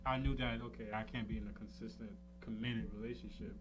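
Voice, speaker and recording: A man's voice: fairly low pitch, steady tone, quick pace, over music, poor audio. A man in casual, candid conversation, speaking in a slangy way and often dropping in "you know.". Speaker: podcaster in a talk show conversation.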